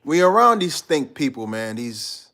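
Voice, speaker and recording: A man's voice: medium pitch, big pitch swings, measured pace, pushed and bright. A man from Guyana hosting a live stream, chatting loosely with his viewers in a slangy, rambling way and reading out their comments. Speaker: livestream host.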